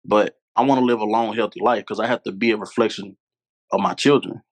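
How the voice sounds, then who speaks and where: A man's voice: lowish voice, steady tone, a little fast. A man, a podcast guest talking candidly and earnestly, repeating his points for emphasis. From Louisiana, US. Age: 20s. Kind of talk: candid conversational storytelling.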